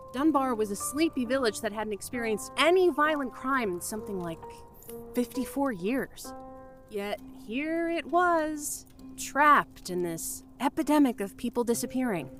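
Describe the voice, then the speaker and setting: A woman acting in an audio drama as a terrified investigator who anxiously stammers her way through a story. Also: fairly high pitch. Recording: music behind the voice.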